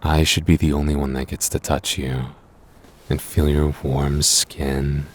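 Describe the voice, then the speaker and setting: Possessive, affectionate boyfriend performed by a man for audio roleplay: very low-pitched, intimate, teasing delivery. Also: level tone, a little slow, husky, full character voice. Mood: tender, possessive.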